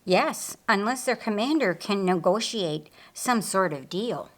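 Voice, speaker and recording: A calm man in an audiobook Bible story, given voice by a woman narrator. He explains things plainly and matter-of-factly. The delivery is unhurried, with plenty of movement in the pitch. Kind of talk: audiobook character dialogue.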